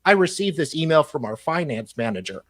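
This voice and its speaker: A man's voice: a little high, sing-song, brisk. A man on a live panel, giving dramatic readings of emails.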